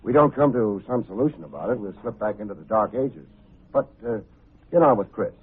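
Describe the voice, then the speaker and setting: Wry, husky radio private eye. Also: male voice, on the low side, lively intonation, brisk. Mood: world-weary. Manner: wisecracking, clipped, colloquial. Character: wry, hard-boiled male private detective, streetwise and breezy. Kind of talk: 1950s radio detective drama acting. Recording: muffled tinny old radio recording, faint music.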